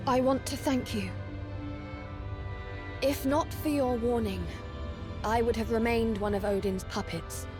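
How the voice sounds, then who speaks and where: Woman acting a resolute young goddess warrior in a game, highish voice, slow and earnest, music behind. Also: natural voice. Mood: determined. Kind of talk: dramatic video game acting. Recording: loud music underneath. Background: game score music.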